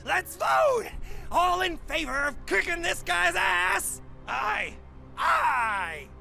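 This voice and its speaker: A violent, jeering street punk from a game. He taunts loudly in a very high, heavily gravelled voice with wild swings, and faint music plays underneath. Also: huge pitch swings, slow, full character voice. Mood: mocking. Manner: taunting, shouting. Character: jeering male street thug, mocking and violent. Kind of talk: video game cutscene acting.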